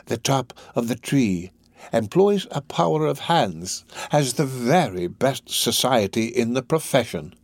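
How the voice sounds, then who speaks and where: A man voicing a sly, ingratiating older criminal in a storybook reading, drawing his words out slowly. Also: mid-range voice, big pitch swings, no room sound. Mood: sly, coaxing. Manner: slow, drawn-out, ingratiating. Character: sly, wheedling older male criminal fence.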